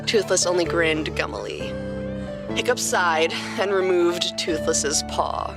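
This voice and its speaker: A woman's voice: lowish voice, takes it slowly, over loud music. A woman hosting a podcast and narrating a story expressively from a script.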